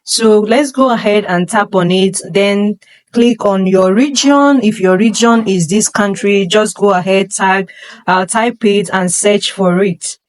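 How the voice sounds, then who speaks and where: Woman, from Nigeria, tutorial coach, step-by-step instructions, middle pitch. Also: unhurried. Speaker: online teaching coach. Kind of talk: screen-recorded tutorial.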